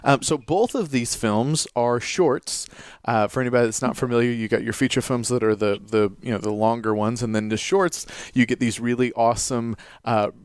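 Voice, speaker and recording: Guy hosting live radio, super hyped, mid pitch, dry studio sound. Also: steady tone, normal speed, smooth, no room sound. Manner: enthusiastic, lots of "uh" fillers. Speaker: radio host. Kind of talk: upbeat live radio interview.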